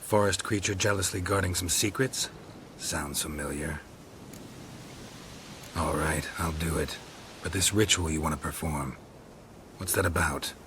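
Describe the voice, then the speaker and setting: An actor playing a stoic, dry-witted monster hunter in a video game, laconic and sardonic. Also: male voice, low voice, unhurried, pauses often, noisy background. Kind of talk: scripted video game acting.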